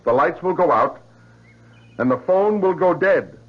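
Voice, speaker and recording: An actor playing an agitated, obsessive scientist in a 1970s radio drama, speaking insistently and emphatically. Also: male voice, a little high, animated, measured pace, slightly rough. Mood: urgent, commanding. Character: agitated, obsessive male scientist convinced machines conspire against him. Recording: dull vintage radio recording.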